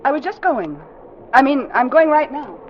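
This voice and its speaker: Expressive, anxious captain's wife. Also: female voice, sits high, moderate pace. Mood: frightened. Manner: polite, dramatic delivery. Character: anxious, well-spoken wife of a ship's captain. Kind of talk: old-time radio drama acting. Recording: muffled, noisy vintage radio recording.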